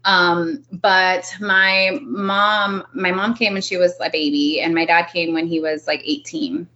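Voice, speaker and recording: A woman's voice: on the low side, steady tone, measured pace, bright, forceful tone, highs cut off. A woman in her early thirties from Miami, a native Spanish speaker, chatting casually as a podcast guest with lots of fillers and laughs. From Miami, United States. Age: early 30s. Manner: chatty, giggly, many likes and fillers. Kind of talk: casual interview chat.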